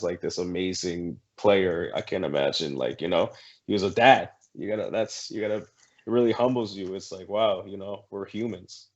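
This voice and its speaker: A man from Haiti in casual podcast talk, speaking haltingly and emotionally. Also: low-pitched, muffled recording. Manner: emotional, halting, searching for words. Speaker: podcast guest. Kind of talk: casual chat.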